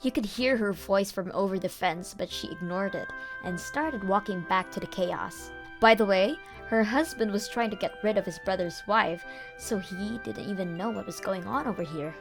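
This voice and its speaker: A woman's voice: medium pitch, moderate pace, over music. A woman reading scripted first-person story narration for a manga dub.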